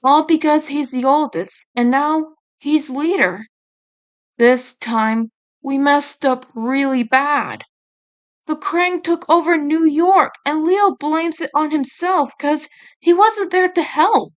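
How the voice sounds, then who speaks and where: A woman's voice: high voice, slow, voice pushed up. A woman voicing a stubborn, protective teenage male turtle ninja, the blunt older brother, in a fan story reading. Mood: explaining earnestly.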